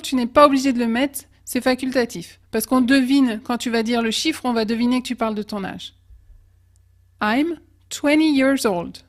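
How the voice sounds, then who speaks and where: High-voiced woman teaching English slowly. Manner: slow, careful model pronunciation of example phrases. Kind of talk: language lesson with example phrases.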